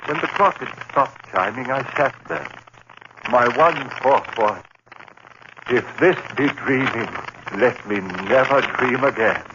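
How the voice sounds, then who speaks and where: A man playing a terrified condemned prisoner recounting his nightmare in halting, anguished phrases, in the style of 1940s radio horror. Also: medium pitch, muffled recording. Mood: shaken relief. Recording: noisy, band-limited old radio recording.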